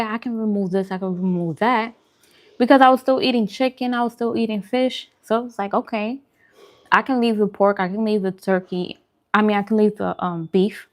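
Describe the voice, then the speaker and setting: A woman's voice: mid-range voice, a little mellow. A woman talking informally in a casual vlog, giving advice and repeating points for emphasis. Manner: conversational, informal explaining. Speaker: food and wellness vlogger. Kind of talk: casual mukbang chat giving diet advice.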